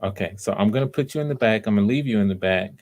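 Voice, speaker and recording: A man with a low voice hosts a live audition session. He coaches casually and encouragingly, often stopping to restart or repeat a phrase as he gives his notes. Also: racing, a little mellow. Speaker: host of live voice auditions, director giving notes.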